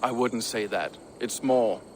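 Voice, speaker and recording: A man's voice: mid-range voice, a little slow, a little mellow, a little hiss or hum, close to an everyday voice. A man as a proud, earnest Viking shipbuilder. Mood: frank, proud. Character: proud Viking shipbuilder, ambitious but loyal warrior. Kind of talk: dramatic video game cutscene dialogue.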